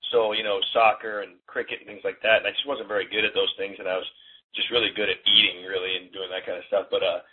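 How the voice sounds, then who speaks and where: A man's voice: fairly low pitch, quick pace, slightly rough. A man in his forties, a collegiate strength coach, chatting loosely as a guest over a phone line, with plenty of fillers. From born in Hong Kong, raised in the US. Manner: rambling, relaxed, many fillers. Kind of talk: casual interview chat. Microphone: phone call.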